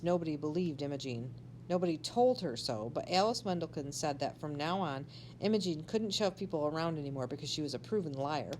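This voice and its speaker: A woman's voice: deep voice, a little mellow, a little hiss or hum, low-quality recording. A woman reading a children's story aloud expressively, like a teacher to a class.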